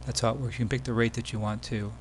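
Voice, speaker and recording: Male demo presenter, casual screencast tutorial delivery, mid-pitched voice, slight hum and noise in the recording. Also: level tone, racing.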